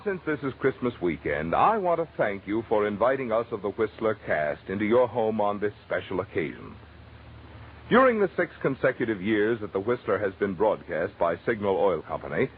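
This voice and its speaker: A man announcing formally in 1940s radio style, reading a scripted sponsor message with deliberate phrasing. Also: lowish voice. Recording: tinny, hissy old radio broadcast.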